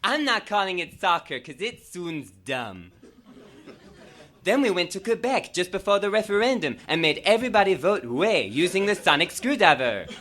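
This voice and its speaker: A man's voice: sits high, sing-song, moderate pace, a bit bright, heavily acted. A man performing a comedic reading as a melodramatic, gushing nineteen-year-old fangirl telling her own story with exclaiming emphasis. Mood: chirpy, earnest.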